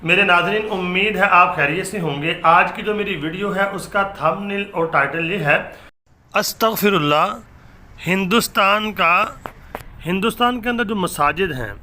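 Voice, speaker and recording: A man's voice: a little high, bright, forceful tone, a little hiss or hum. A man delivering an earnest monologue to viewers as a religious vlogger, in an emphatic, preacherly manner.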